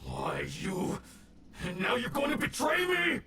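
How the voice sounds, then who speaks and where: A man's voice: extremely high pitch, big pitch swings, slow pace, echoey room, recorded from a distance, faint music behind, heavily acted. An actor playing a scheming male ronin gang boss who gloats and sneers. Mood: panicked. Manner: gloating, then panicked shouting.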